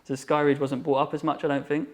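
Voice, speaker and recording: A man in his thirties talks quickly, on a narrow, level pitch. He rambles in a relaxed guest chat and leans heavily on fillers, pressing ahead fast with one thought after another. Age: 30s. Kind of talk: casual chat.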